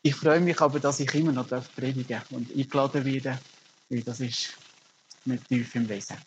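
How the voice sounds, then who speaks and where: A man's voice: medium pitch, steady tone, moderate pace, lo-fi sound, slight background noise. A middle-aged man, preaching a sermon as a pastor and telling stories earnestly with emphatic rises. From Germany.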